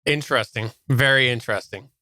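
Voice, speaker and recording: A man's voice: mid-range voice, brisk, pushed and bright, no echo. A man hosting a podcast in a casual, technical explainer style, often qualifying his points with asides, on a close studio microphone. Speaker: podcast host. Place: quiet, dry home studio. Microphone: close studio microphone through preamp.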